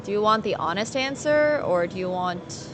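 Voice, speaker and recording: A woman's voice: medium pitch, animated, normal speed, noisy background. A woman from Finland live streaming casually, thinking aloud in rambling fragments as she plays and chats with viewers. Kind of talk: casual chat while playing poker, answering viewers.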